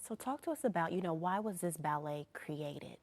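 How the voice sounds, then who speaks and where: A woman's voice: sits low, expressive, normal speed, darkish tone. A woman hosting a local TV morning show, interviewing guests with short affirming replies. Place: TV studio.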